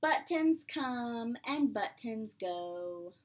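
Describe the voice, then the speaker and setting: A woman preschool teacher reading aloud to young children, expressive and playful, explaining simply and asking questions as she goes. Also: a little high, noisy background. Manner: expressive, drawn-out, playful exclamations.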